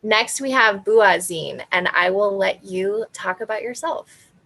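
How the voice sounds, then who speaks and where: A woman hosts an online zine festival over a video call, introducing each presenter. Her voice is bright and sharp, and she speaks slowly and upbeat, reading bios aloud. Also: medium pitch, vocal fry. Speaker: festival event host.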